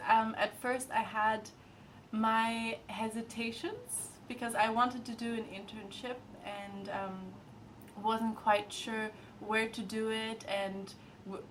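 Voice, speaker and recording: A young woman in her twenties, an intern, talking in a relaxed, chatty testimonial full of fillers. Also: a little high, slight background noise, low-quality recording. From Austria and Germany, grew up in China and Thailand. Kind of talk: casual testimonial.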